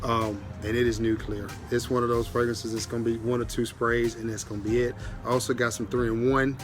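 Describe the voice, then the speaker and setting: Guy with a stuffed-up nose, talks pretty monotone, lots of ums, soft music in the back. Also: medium pitch, brisk. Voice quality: stuffy nasal voice. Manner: relaxed, rambling, with many ums and restarts. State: congested from sinus infection. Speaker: fragrance reviewer, channel host. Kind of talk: casual update to viewers.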